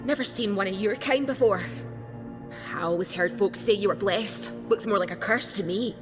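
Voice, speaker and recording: A woman acts out a jailed smuggler in a fantasy video game. She is blunt, scornful and defiant, with a medium-pitched voice. Music is clearly heard underneath. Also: normal speed, close to an everyday voice. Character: defiant, sardonic female smuggler held prisoner. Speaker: game character. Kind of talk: video game dialogue acting. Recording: orchestral game music behind the voice. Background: loud music.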